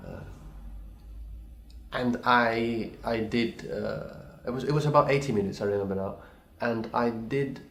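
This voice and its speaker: A man, a pianist speaking English as a second language, giving a thoughtful, hesitant reply full of restarts and apologies. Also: lowish voice. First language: not English. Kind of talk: recorded reply to a video challenge. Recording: echoey room.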